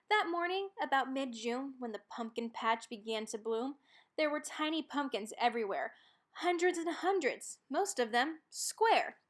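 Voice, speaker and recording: A woman reading a rhyming children's picture book aloud, expressively and with dramatic emphasis on key words. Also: a little high. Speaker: storybook reader.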